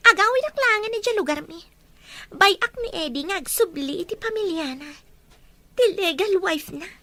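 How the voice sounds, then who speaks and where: A woman acts out a young mistress full of regret in a radio-style drama. Her voice is extremely high and bright, with an earnest, pleading way of speaking. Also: lively intonation, normal speed, dry recording, full character voice. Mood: resigned. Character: remorseful pregnant young mistress, tearful and pleading. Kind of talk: Ilocano audio drama acting.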